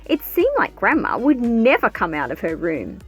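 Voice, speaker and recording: A woman narrates a picture book in a fairly high, very animated voice. She tells the story expressively, in a measured scripted read-aloud style. Soft music plays behind her. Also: husky. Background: background music.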